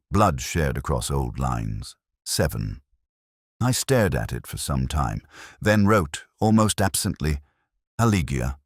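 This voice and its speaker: A man playing a weary, wry older police inspector, narrating a literary audio drama in a measured, understated, reflective way. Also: a bass voice, no room sound, clean studio sound, exaggerated voice. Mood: brooding.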